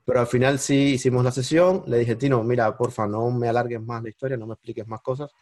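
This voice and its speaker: A man with a Cuban accent, a podcast guest telling his story in lively casual conversation and bursting into high exclamations at the big moments. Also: fast, no echo, studio quality. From Cuba. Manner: animated, jumps into high-pitched exclamations when retelling moments.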